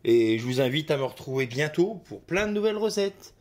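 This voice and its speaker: A man's voice: fairly high pitch, very animated, a little fast, a little mellow. A man with a slight cold hosting a cooking video, talking casually as he works and peppering his speech with little interjections. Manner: chatty, filler-heavy with "hop" and "hein". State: a bit of a cold. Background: kitchen clatter of dishes and utensils.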